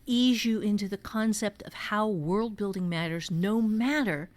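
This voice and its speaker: A woman's voice: mid-range voice, measured pace. A woman teaching writing in a conversational way, explaining step by step with emphasis as a co-host.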